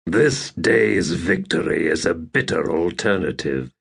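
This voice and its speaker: A man's voice: deep voice, a little slow, vocal fry, slightly rough, pitched below the performer's own voice, exaggerated voice. A man voicing a gaunt, weary, bitter dragon-shifting warrior in a fantasy audiobook, growling in a grim, terse way. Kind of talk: dramatic audiobook character reading.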